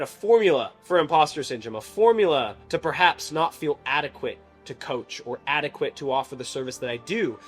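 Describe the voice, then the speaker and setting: A man in his twenties with a slightly high voice delivers a motivational monologue to the camera. He sounds earnest and reflective, leaning on key words for emphasis. Faint music plays behind him. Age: 20s. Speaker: life coach and video creator.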